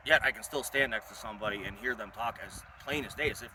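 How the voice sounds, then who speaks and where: A man with a slightly low, bright voice chats quickly and casually, giving his impressions as a tester. He is recorded outdoors, a little away from the microphone. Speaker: product tester giving opinion. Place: outdoors at a shooting spot. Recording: slightly distant, faintly noisy outdoor recording.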